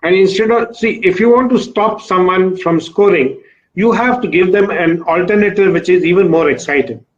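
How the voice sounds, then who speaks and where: A man's voice: high voice, normal speed, dull audio. A man speaking as a coach and interviewer, stressing and repeating his key words with emphasis. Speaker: shooting coach, host.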